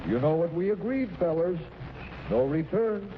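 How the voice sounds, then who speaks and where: A tinny, noisy old radio recording of an old Santa Claus. The voice is high and theatrical, jolly on the surface and long-suffering underneath, in 1940s comedy style. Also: male voice, expressive, normal speed. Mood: cajoling.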